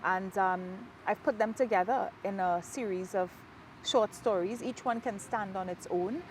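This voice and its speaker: Trinidadian woman, author, reflective and warm, mid-range voice, outdoor interview with faint traffic. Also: medium pitch, moderate pace, low-quality recording. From Trinidad and Tobago. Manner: warm, reflective, laughs easily. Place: outdoors in a park, rain falling.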